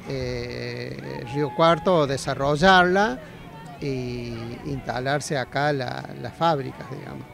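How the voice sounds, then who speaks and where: Gravelly middle-aged Argentine man being interviewed. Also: medium pitch. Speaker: agronomy faculty representative being interviewed. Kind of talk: outdoor interview answers. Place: outdoors at a busy fairground. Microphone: handheld interview microphone.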